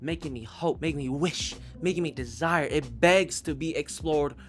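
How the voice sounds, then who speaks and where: A man's voice: on the high side, moderate pace, faint music behind. A man giving a casual, profanity-laced review in an emphatic, rambling, irreverent manner. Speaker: podcast reviewer.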